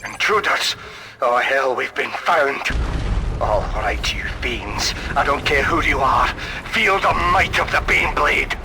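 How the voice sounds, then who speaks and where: An actor playing a bitter, defiant renegade army officer in a video game, barking in a militaristic way. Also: male voice, mid-range voice, measured pace, over music, close to an everyday voice. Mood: alarmed, furious. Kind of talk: video game cutscene acting.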